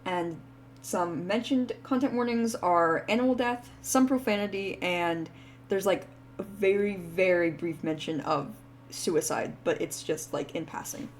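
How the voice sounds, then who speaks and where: A woman's voice: lowish voice, slight background noise. A woman VTuber announcing voice acting auditions for her indie game, reading the terms in a casual way with lots of "like" fillers. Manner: casual, filler-heavy, reads terms out quickly. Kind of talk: casting call announcement.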